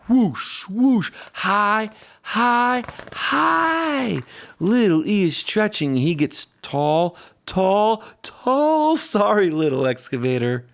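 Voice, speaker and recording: Slow, very animated man reading aloud. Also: high-pitched. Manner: playful, drawn-out emphasis on sound words. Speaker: children's book reader. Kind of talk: expressive read-aloud storytelling of a rhyming picture book.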